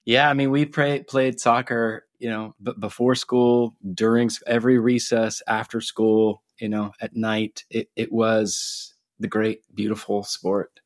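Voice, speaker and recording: A man's voice: fairly low pitch, flat delivery, a little slow, few pauses, no echo. A man from Guatemala answering interview questions in an easygoing, modest way. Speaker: guest theologian.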